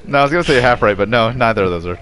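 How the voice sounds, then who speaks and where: A man's voice: medium pitch, animated, very fast, a bit bright, clear, resonant tone. A man co-hosting a podcast in casual, joking banter with friends. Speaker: podcast co-host.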